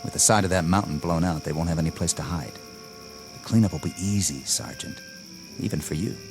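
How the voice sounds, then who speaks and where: An actor playing a zealous young army lieutenant in a radio drama, commanding and scornful in a clipped way. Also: male voice, deep voice, fairly quick. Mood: confident, cold. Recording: radio drama with music underneath.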